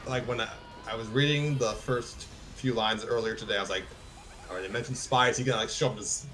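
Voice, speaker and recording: A man's voice: lowish voice, steady tone, fairly quick, fairly bright tone. A man casually hosting a livestream, reading a story aloud and chatting in a hesitant, hedging way. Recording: background music clearly audible. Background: music.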